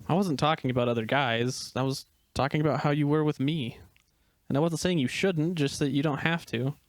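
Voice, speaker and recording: A man acts out a young male gray wolf in an audio drama reading. He is earnest and gentle, reflective and shy. His voice sits a little high for a man, recorded dry and close. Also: a little fast, no echo, close to an everyday voice. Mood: earnest, confessional.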